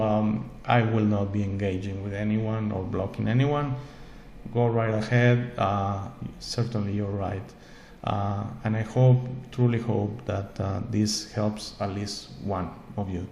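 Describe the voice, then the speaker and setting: A man with a somewhat low voice gives a heartfelt, wandering monologue in English, which is not his first language. He keeps repeating words and checking in with the listener. The sound is roomy and echoey, with a faint hum of background noise. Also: a little mellow. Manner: earnest, rambling, repeats words, frequent "okay?". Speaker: host. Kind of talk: spiritual monologue to camera.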